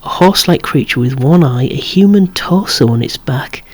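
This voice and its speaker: A person whispering an ASMR show-and-tell of a collection in a hushed, breathy, unhurried way, musing aloud with wry asides. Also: medium pitch, lively intonation. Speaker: toy collector presenting a collection.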